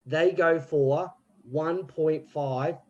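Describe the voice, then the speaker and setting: A man's voice: on the high side, extremely slow, a little mellow, lo-fi sound. A man doing a put-on British accent as a scheming petty crook in an improv scene, rambling with slang and restarts. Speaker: improv player.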